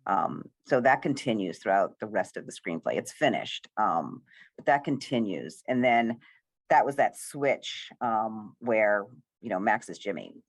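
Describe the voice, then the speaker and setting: A woman's voice: extremely low pitch, expressive, a little slow, no echo. A woman screenwriter talking casually in a question-and-answer session, rambling and full of praise for her actors. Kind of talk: casual post-reading Q&A talk.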